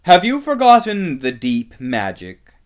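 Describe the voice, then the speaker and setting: A shrill, imperious witch queen from a storybook, acted out by a man. The voice is pitched high and driven hard and loud, savage and gloating, with every phrase declaimed as a threat. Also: huge pitch swings, a little slow, clean tone, exaggerated voice. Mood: accusing, scornful. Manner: forceful, declamatory, menacing. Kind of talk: dramatic storybook reading.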